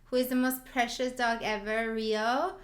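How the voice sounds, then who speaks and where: A young woman from Albania co-hosts a relaxed podcast, speaking. She tells stories with energy and laughs often, her voice sitting a little on the high side. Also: unhurried. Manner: lively, storytelling, laughs often. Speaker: podcast co-host. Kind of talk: casual chat.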